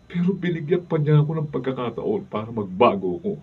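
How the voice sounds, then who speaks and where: A man's voice: mid-range voice, big pitch swings, fairly quick, breathy edge. A man playing an emotional, exclamatory hot-headed motorist in a Filipino radio drama. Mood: grateful, repentant. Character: hot-headed boastful male motorist, later grateful and repentant. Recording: distant dull behind-the-scenes video, faint noise.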